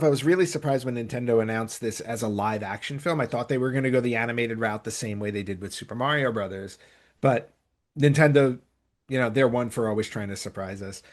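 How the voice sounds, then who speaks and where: A man with a mid-pitched voice hosts a podcast in a casual, conversational way. He rambles a little, often backtracking and rephrasing partway through a sentence. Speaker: podcast host. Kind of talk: casual conversational podcast talk about entertainment news.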